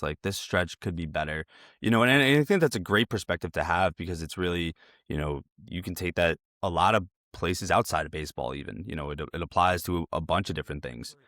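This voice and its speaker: Male interviewer, slightly low voice, dry recording, earnest and conversational, circles around his questions. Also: fairly bright tone, no room sound. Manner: earnest, rambling questions with repeated phrases. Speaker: interviewer, host.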